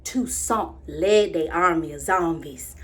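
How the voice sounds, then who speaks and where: A woman's voice: deep voice, slow, fairly bright tone. A woman with an American accent performing a theatrical monologue as a proud, imaginative young girl, telling her story with dramatic swings between confiding and exclaiming. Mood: excited.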